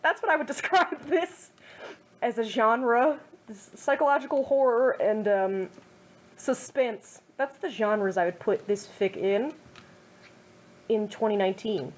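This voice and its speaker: A young woman reading her own fan fiction aloud in a fairly high voice. Between passages she drops into wry, self-mocking asides full of little fillers. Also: a little slow.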